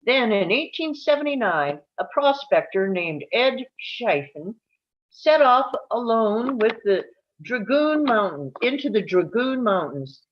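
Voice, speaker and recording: A woman reading scripted narration aloud at a live table read, telling it like a story and now and then stumbling over a word. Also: mid-range voice. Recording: dull, lo-fi sound.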